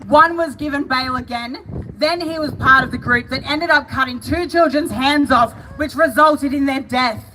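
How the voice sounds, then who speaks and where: A woman with an Australian accent giving an impassioned, emphatic rally speech, often raising her voice to a shout. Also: high voice, moderate pace, slight background noise, low-quality recording. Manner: emphatic, shouting, chanting slogans. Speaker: political rally speaker.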